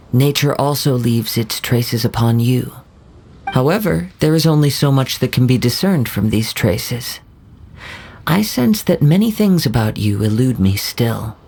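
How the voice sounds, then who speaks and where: A man voicing a calm young wandering samurai who speaks in a formal, measured, courteous way. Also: mid-range voice, vocal fry, slight background noise. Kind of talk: video game voice acting.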